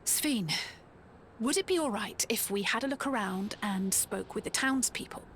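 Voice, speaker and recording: A woman's voice: medium pitch, animated, moderate pace, a bit bright, slight background noise. An actress playing a composed, inquisitive adventurer in a video game, polite and businesslike, with faint ambience behind her. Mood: brisk, curious. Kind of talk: scripted video game voice acting.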